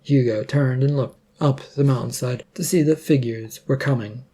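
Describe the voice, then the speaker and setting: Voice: medium pitch, moderate pace, mellow, breathy edge. A narrator reading a third-person adventure story as scripted prose.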